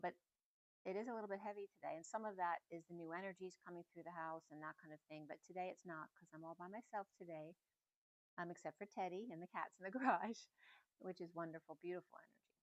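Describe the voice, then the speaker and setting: A middle-aged woman giving a casual, meandering spiritual reading at home, in a soft and musing way. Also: lowish voice, no room sound, lo-fi sound. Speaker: spiritual reader. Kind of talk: casual channeled message reading.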